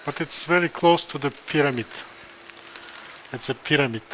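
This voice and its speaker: Guy talking through a procedure live, halting, thinking out loud, noisy room behind him. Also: on the high side. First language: Kazakh. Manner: halting, thinks aloud with pauses and restarts. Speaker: interventional neurosurgeon. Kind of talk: live commentary during a procedure.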